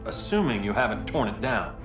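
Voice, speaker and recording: A man's voice: lowish voice, big pitch swings, fairly quick. An actor playing a resolute male superhero who speaks firmly and directly. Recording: roomy and distant with music.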